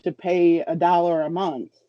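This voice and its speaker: A man's voice: fairly high pitch, flat delivery, measured pace, no room sound. A man co-hosting a podcast in casual chat, recorded with dull, lo-fi sound. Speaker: podcast co-host. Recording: dull lo-fi audio.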